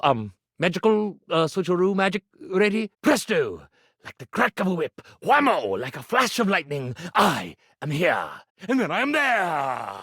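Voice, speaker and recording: A man's voice: fairly high pitch, fairly bright tone, husky, dry recording, studio quality, heavily acted. A man voicing a vain, anxious iron who works as a stage magician in a video game, speaking in a pompous, theatrical showman's manner with grand flourishes. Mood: showy, grandiose. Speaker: magician and writer.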